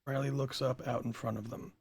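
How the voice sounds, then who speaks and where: A man with a gravelly, husky voice reading stage directions as scripted narration at a table read. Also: medium pitch, steady tone, brisk, darkish tone, dry recording, studio quality.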